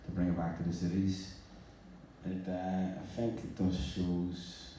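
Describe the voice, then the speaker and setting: Deep-voiced man in echoey hall. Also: completely flat delivery, a little slow, warm tone, far from the mic, a little hiss or hum. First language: Irish. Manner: cheeky, then earnest and reflective. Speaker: panel guest, rapper. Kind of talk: live onstage panel talk. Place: large indoor venue before an audience.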